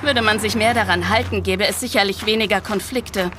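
A woman's voice: mid-range voice, brisk, noisy background, natural voice. A woman playing a friendly, concerned nun in an audio drama, explaining things in a conversational, slightly hesitant way. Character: friendly, concerned Nigerian nun working for peace. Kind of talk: interactive audio drama dialogue.

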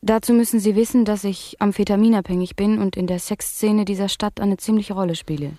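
A woman playing a blasé young talk-show guest in a satirical radio play, speaking in a deadpan, matter-of-fact way. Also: medium pitch, fairly quick, no echo, clean studio sound, natural voice. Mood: deadpan, clinical. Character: blasé young woman, addicted clubgoer giving clinical testimony.

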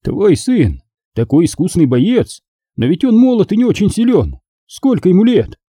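A man's voice: high-pitched, sing-song, moderate pace, warm tone, husky, no room sound, studio quality, voice pushed up, full character voice. A man voicing a proud grand master of a knightly order, with emphatic, ceremonious oratory and coloring. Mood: astonished.

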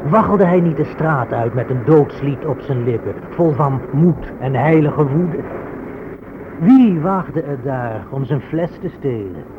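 Rhetorical orator, high-ish voice, emphatic, radio drama, phone-like narrow sound. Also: male voice, expressive, a little slow. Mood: mock heroic. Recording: noisy, phone-like old radio recording.

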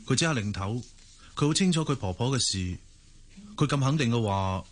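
A man's voice: mid-range voice, animated, a little fast, lightly acted. An actor playing an earnest, inquisitive young man in a radio drama, polite, with a Hong Kong Cantonese accent. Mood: calm, polite. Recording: music behind the voice.

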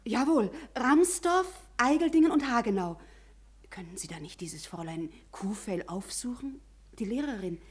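A conscientious office secretary in a 1950s German radio drama. Her voice is fairly high. She is respectful and earnest, urging her point with gentle persistence. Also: expressive, normal speed, husky. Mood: concerned, pleading.

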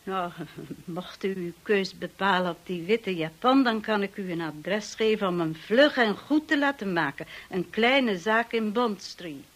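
An actress playing a formal, slyly helpful housekeeper in a radio drama, speaking in a measured, insinuating way. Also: female voice, medium pitch, animated.

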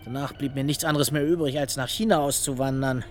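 A man's voice: mid-range voice, steady tone, fairly quick, soft background music, lightly acted. A man playing an agitated, boastful film director in a radio play, stammering as he rants with derision. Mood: scornful, bitter. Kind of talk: dramatic radio play dialogue.

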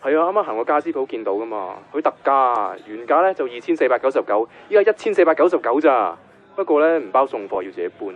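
A man's voice: mid-range voice, very animated, fast. An actor playing an easygoing, practical man on a phone call in a Hong Kong Cantonese radio drama. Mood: casual, upbeat. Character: easygoing, practical man phoning about a bargain. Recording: muffled band-limited phone sound.

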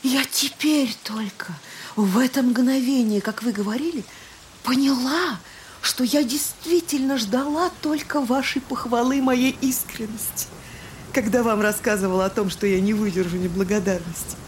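A woman's voice: fairly high pitch, moderate pace, slight background noise, close to an everyday voice. An actress playing an anxious, emotional genteel lady who speaks with breathless fervour in a literary audio drama. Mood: shaken, fervent.

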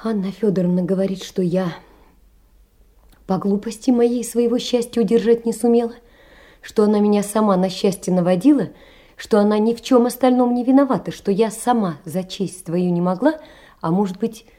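A woman's voice: medium pitch, normal speed, mellow, lightly acted. An actress playing a poor, sickly young woman who reads her letters as theatrical monologue, plaintive, earnest and full of sorrow. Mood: hurt, indignant.

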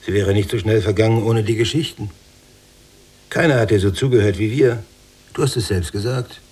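An actor playing a wistful, reflective young prisoner in a literary radio play. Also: male voice, fairly low pitch, moderate pace, darkish tone, breathy edge. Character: wistful young male prisoner longing for stories.

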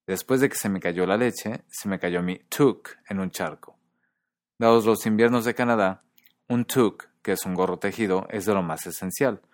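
A man hosting a podcast who explains things in a measured, scripted narration. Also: sits low, no room sound, clean studio sound. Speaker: podcast host.

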